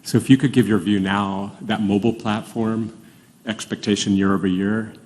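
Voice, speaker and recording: A man's voice: lowish voice, steady tone, normal speed, darkish tone. A man asking an analyst's questions, unscripted, on an earnings call.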